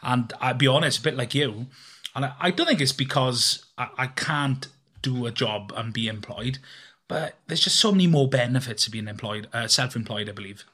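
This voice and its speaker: A man's voice: mid-range voice, moderate pace, sharp tone, breathy edge. A man in his thirties talking earnestly about his own business as an interview guest, with many fillers and restarts. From Wales. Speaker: guest, filmmaker and business owner. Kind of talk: conversational interview.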